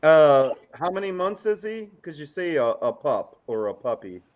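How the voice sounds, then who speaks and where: A man's voice: fairly high pitch, sing-song, moderate pace. A man in easygoing, playful casual chat on a livestream, heard through dull lo-fi audio.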